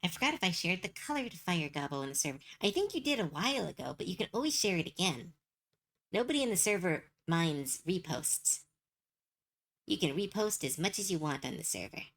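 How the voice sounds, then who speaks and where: A young adult woman streaming as she draws. She rambles and giggles as she thinks out loud, and her voice is going hoarse and tired. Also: on the low side, very animated, a little fast. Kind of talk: casual chat while drawing.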